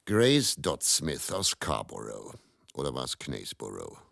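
An actor playing a bitter, world-weary travelling faith healer and quack in a radio play monologue, musing and theatrical. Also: male voice, very deep voice, wildly swooping pitch, measured pace, creaky, fairly bright tone, dry recording, clean studio sound, exaggerated voice. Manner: brooding, musing, repeats words, theatrical shifts between murmur and outburst.

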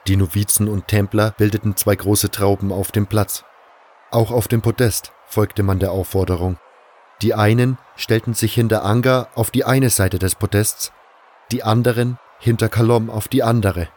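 A man's voice: lowish voice, steady tone, normal speed, a little mellow. A man reading scripted first-person narration for an audio drama.

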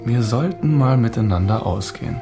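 A man's voice: mid-range voice, lively intonation, normal speed, creaky, over music. An actor playing a flirtatious, smug male psychotherapist in a German radio drama, teasing and self-assured.

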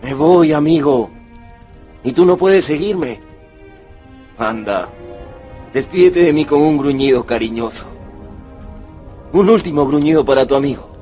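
A man's voice: fairly high pitch, expressive, a little slow, long pauses, warm tone, soft background music. An actor playing a kind, patient man who tames a wolf, speaking tenderly and earnestly in a radio drama. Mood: tender farewell.

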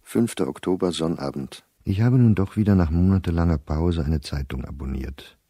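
Very deep, weary, embittered diarist. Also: male voice, warm tone, no echo, clean studio sound. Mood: bitter, weary. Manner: measured, weary, dropping to near-whisper at grim thoughts. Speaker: diarist narrator. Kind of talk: literary radio play monologue, diary reading.

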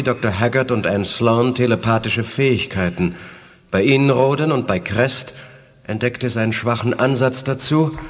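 A man's voice: medium pitch, in a large hall, lightly acted. An actor playing an earnest, reassuring male telepath who urgently coaches others, in a 1980s radio drama.

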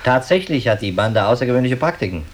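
An actor playing a businesslike, skeptical male police detective in a radio drama, speaking in a brisk, emphatic, official way. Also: medium pitch, animated, lightly acted. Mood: explaining, emphatic. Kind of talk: German radio drama acting.

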